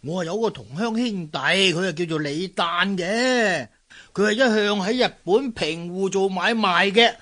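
A friendly shrewd merchant in a radio play, pretty high voice, coaxing. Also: male voice, a little slow, bright, forceful tone. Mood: helpful. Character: friendly, worldly male trader giving shrewd tips. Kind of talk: Cantonese radio drama acting.

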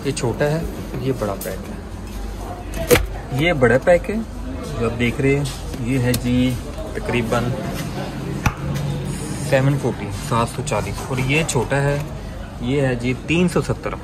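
A man's voice: mid-range voice, slow, long pauses, very noisy. A man in his thirties vlogging inside a bakery, chatting as he shows items and reads out prices. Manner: chatty, showing and pricing items. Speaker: food vlogger. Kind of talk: casual food vlog walkthrough. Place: bakery shop indoors. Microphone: phone camera, handheld selfie framing. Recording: loud music behind the voice.

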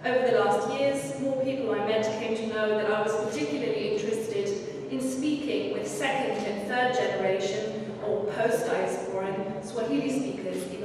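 A woman academic reading a prepared conference paper in a measured way, with a few fillers. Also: on the high side, background noise. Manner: measured, scripted delivery with occasional fillers. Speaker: academic panelist. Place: lecture room with projected slides. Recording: echoey hall recorded from far off.

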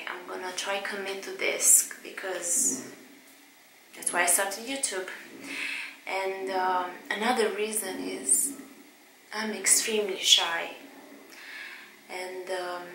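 A woman's voice: medium pitch, creaky, pushed and bright, echoey room, slight background noise, poor audio. A woman in her thirties with a Romanian accent, talking hesitantly to the camera at home with many fillers and restarts. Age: 30s. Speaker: vlogger. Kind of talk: casual talk to camera. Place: bedroom at home, indoors.

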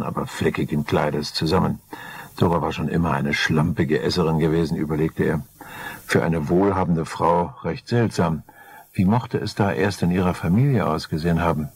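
An older man narrating a crime story in a measured, dry, darkly ironic way, his voice a little husky. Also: deep voice, darkish tone. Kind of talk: scripted crime audiobook narration.

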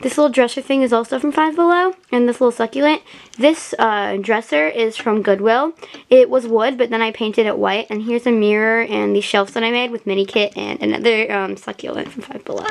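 This is a young female vlogger with an American accent and a slightly high voice. She talks in a chatty, informal way, sprinkling in "like" as she guides viewers through a casual tour at home. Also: brisk, gravelly. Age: young, child or teenager. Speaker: doll vlogger giving a house tour. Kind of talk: casual home vlog narration. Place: indoor room at home, behind the camera.

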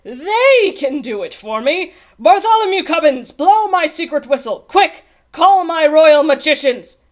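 Storybook king, old, hot-tempered and imperious, played by a woman; loud, bellowing, swooping delivery. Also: sits high, lively intonation, measured pace, voice pushed up. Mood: gleeful, commanding. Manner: bellowing, commanding, blustering. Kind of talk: storybook character voice.

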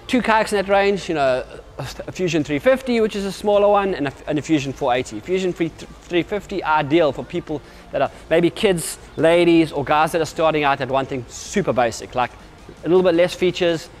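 Upbeat man explaining kayaks in a showroom. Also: on the high side. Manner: energetic, gestures constantly while talking, many restarts. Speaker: kayak company representative. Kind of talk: explanatory product talk to camera. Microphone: camera at medium distance.

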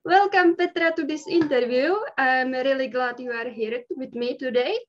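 Czech woman interviewer, high voice, eager, muffled call line. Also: moderate pace. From Czech Republic. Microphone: pink headphones on video call.

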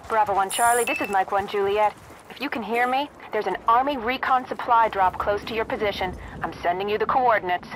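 An actress voicing a composed, businesslike army radio operator in a video game, over a radio. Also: female voice, mid-range voice, brisk, noisy background.

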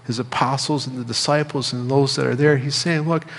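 A middle-aged American man preaching a sermon as a pastor, speaking in measured phrases with pauses and repetition. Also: mid-range voice, steady tone, creaky. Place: church sanctuary, indoors at a lectern. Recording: reverberant church hall.

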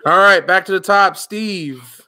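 A man's voice: high-pitched, measured pace, sharp tone. A man hosting a card break with friends around a table, casually calling out names and joking. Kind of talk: casual group chat.

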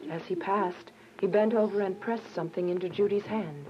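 A woman's voice: medium pitch, steady tone, normal speed, noisy background, natural voice. A woman playing an earnest, devout young prisoner, narrating her story in a radio drama. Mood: somber, reflective. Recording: dull recording with music behind. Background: music.

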